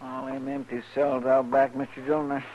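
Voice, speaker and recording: An actor playing a folksy, talkative frontier deputy with a Southern American accent and a rambling drawl, in radio western style. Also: male voice, medium pitch, level tone, a little fast, lightly acted. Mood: complaining. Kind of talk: 1950s radio western acting. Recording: dull, hissy old radio recording.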